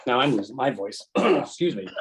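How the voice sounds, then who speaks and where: A man's voice: mid-range voice, slightly rough, poor audio. A man on a committee, talking in loose, rambling asides and reading an email aloud at a meeting. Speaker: committee member. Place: public meeting room.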